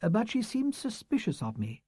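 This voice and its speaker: A man's voice: sits high, huge pitch swings, moderate pace, dark tone, slight background noise, voice pushed up. A man reading an audiobook, putting on a proud, bitter older female schoolteacher with a clipped, indignant manner.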